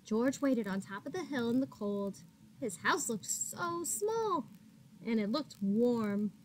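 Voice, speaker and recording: A woman teacher reading a picture book aloud to young children, expressively, pausing to put questions to them and gasping for suspense. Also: on the high side, slight background noise, low-quality recording. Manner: expressive, addresses children as friends, gasps for suspense.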